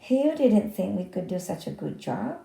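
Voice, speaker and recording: Woman plays eager young storybook boy, earnest and pleading, very soft timbre in a roomy space. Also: mid-range voice, sing-song, normal speed, creaky. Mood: proud.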